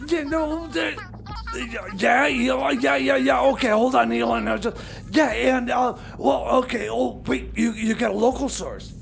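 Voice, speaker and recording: A very high, gravelly male voice acted by a man for a radio comedy sketch. He is a flustered, pleading sort who stammers, repeats himself and tries to placate someone on a call. Music plays behind him. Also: steady tone, heavily acted. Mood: flustered, agitated. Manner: stammering, repetitive, halting. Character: flustered, pleading man juggling a difficult business phone call.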